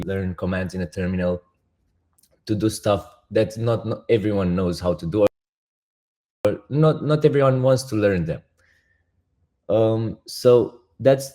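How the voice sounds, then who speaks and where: A man with a Romanian accent, a project founder speaking as a guest and explaining step by step in halting, restarting sentences. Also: fairly low pitch, dark tone. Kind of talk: casual interview talk.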